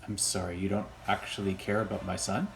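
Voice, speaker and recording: A man's voice: sits low, flat delivery, brisk, vocal fry, faint music behind. A man playing a guarded, blunt, suspicious father of a schoolboy in a radio play. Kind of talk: amateur radio drama phone dialogue.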